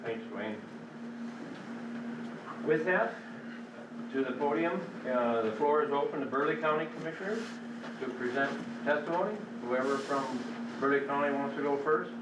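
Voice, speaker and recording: A man's voice: a little high, normal speed, pauses often. A man with an Upper Midwestern American accent chairing a public hearing, brief and procedural. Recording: echoey hall, far from mic, noise.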